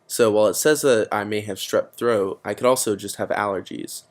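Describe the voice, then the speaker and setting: A man's voice: lowish voice, fairly even intonation, a little fast. A teenage boy presenting a casual computer tutorial, explaining steps with frequent fillers. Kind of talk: screen-recorded computer tutorial.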